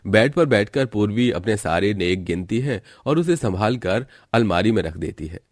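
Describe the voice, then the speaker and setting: A man reading scripted storytelling narration for an animated family tale. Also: fairly low pitch, moderate pace.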